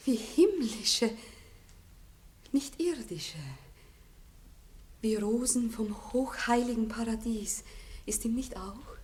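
An actress playing a pious, innocent young bride-to-be with fervent rapture in a 1960s radio drama. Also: female voice, on the high side, slow pace, long pauses. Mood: hushed rapture. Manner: fervent, solemn, exclamatory to hushed. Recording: 1960s radio recording, faint hiss.

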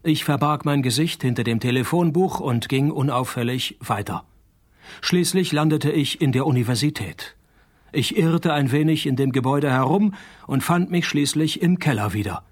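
An actor playing a cynical private detective in a radio play, husky and deadpan, with dry sarcasm in both dialogue and narration. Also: male voice, medium pitch, normal speed, natural voice. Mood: deadpan narrating. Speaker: lead character and narrator.

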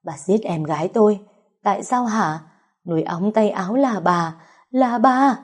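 A woman voicing a furious older mother-in-law who screams shrilly in rage, her voice husky. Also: mid-range voice, measured pace, darkish tone, pitched above the performer's own voice.

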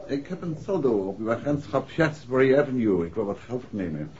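Guy acting as calm decisive detective husband, dutch accent, old muffled radio play, lively low voice. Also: fast, natural voice. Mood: polite. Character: composed married male detective, courteous and decisive. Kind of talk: 1960s radio drama acting. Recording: muffled 1960s radio recording, faint noise.

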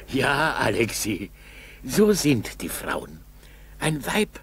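An actor playing a kindly old Russian village grandfather in a 1960s radio play, chatty, coaxing and husky. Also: medium pitch, fairly bright tone, full character voice. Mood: tender coaxing. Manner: folksy, coaxing, halting storytelling. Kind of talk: 1960s German school radio play acting.

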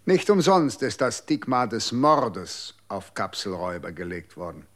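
An actor playing a calm, dismissive official who lectures on the law in a radio drama. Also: male voice, on the low side, a little slow. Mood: cold. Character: cold, condescending official defending the law.

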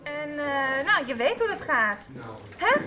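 A woman's voice: high voice, sing-song, a little slow, pushed and bright, soft background music, exaggerated voice. An actress in a radio soap playing a chatty, self-absorbed schoolgirl, gushing and dismissive. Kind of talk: 1990s radio soap acting.

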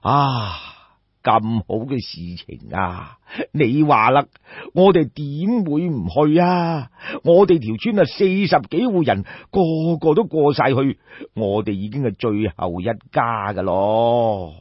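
A man voicing a destitute old peasant in an audiobook story, sorrowful and respectful, with sighing, plaintive, drawn-out emphatic phrases. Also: mid-range voice, animated, breathy edge, dry recording. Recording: dull, muffled lo-fi audio.

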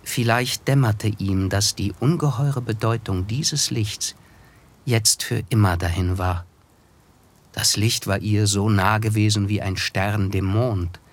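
An actor narrating a literary radio play as an observant, wistful friend, in a measured, contemplative manner. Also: male voice, on the low side, steady tone. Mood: reflective.

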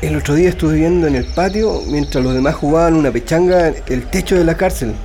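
An actor playing a resourceful, determined political prisoner with a Chilean accent, plain-spoken and colloquial in a radio drama. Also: male voice, fairly high pitch, fairly quick, warm tone, a little hiss or hum, lightly acted. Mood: eager, observant.

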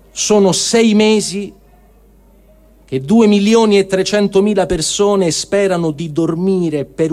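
A man giving a solemn dramatic reading of written testimony, in a measured, repeating cadence with deliberate pauses. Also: high voice, mellow.

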